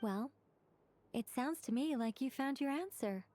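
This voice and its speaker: Chatty, high-voiced wise angel mage. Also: female voice, animated, measured pace, warm tone, slight background noise. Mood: calm, encouraging. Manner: explanatory, rambling, self-correcting. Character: chatty, knowing female angel mage trying to stay solemn. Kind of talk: video game voice acting.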